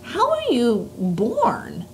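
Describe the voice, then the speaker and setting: A woman's voice: fairly high pitch, huge pitch swings, extremely slow, a little hiss or hum, pitched above the performer's own voice, exaggerated voice. A woman voicing a polite, curious young girl in a read-aloud story.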